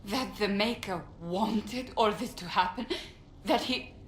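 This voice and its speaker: An actress playing a fierce, zealous warrior in a video game, intense and accusatory. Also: female voice, medium pitch, slow pace, close to an everyday voice. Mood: bitter, accusing. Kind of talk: video game character acting. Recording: roomy echo, faint noise.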